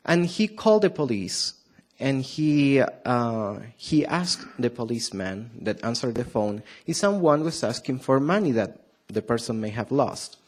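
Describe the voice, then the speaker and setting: Slow-speaking Argentine man preaching. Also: medium pitch, expressive. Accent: Argentine Spanish. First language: Spanish. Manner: hesitant, many fillers and restarts, asks the audience questions. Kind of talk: sermon to a congregation.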